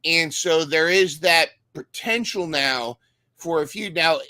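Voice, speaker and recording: A man's voice: on the high side, slow, sharp tone, dry recording. A man co-hosting a casual recap podcast, talking enthusiastically and often restarting his sentences. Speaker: podcast co-host.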